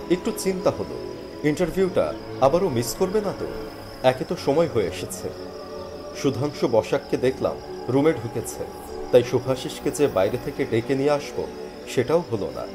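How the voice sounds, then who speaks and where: A man playing an earnest, dejected young job seeker, telling his own story dramatically in an animated thriller. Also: medium pitch, lightly acted. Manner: expressive, earnest. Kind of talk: dramatic first-person scripted story narration with dialogue.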